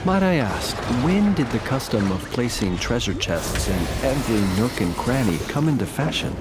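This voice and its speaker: Man voicing theatrical, swooping old-world gentleman. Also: mid-range voice, big pitch swings, moderate pace, close to an everyday voice. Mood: politely bemused. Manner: formal, theatrical. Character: courtly, old-fashioned, eerie gentleman of the night. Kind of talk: video game combat and idle voice lines. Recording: loud game music and battle crashes.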